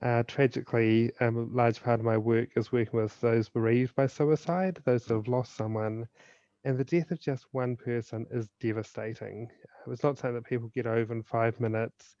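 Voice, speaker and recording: A man with a New Zealand accent, a guest author and suicide prevention speaker, explaining in an earnest, measured way. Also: mid-range voice, fairly even intonation, warm tone, dry recording, muffled recording. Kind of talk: podcast interview, explanatory.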